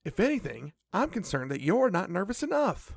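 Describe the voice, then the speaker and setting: An actor playing a cautious, nervous magical companion in an audio drama, creaky and admonishing. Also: medium pitch, sing-song, normal speed, no room sound, studio quality. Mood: anxious. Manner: wary, admonishing.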